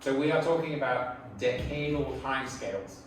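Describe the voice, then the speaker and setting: A man's voice: medium pitch, moderate pace, far from the mic. A middle-aged man from England with an English accent gives a conversational slide lecture in an informal, reflective manner. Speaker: speaker giving a public talk. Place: indoor talk venue before an audience. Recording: echoey room from afar, faint noise.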